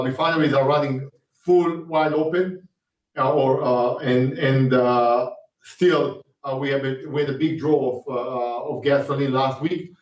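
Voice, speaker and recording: A man with an Israeli accent, an executive in an investor interview, speaking haltingly with many fillers. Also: mid-range voice. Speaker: company executive. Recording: distant roomy muffled call audio.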